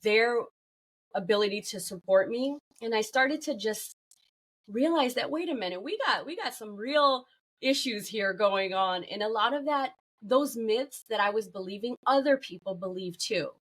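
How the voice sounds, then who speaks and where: Mid-pitched woman as interview guest. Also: animated, moderate pace, fairly bright tone. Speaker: podcast guest, workplace well-being consultant. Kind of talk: conversational interview talk.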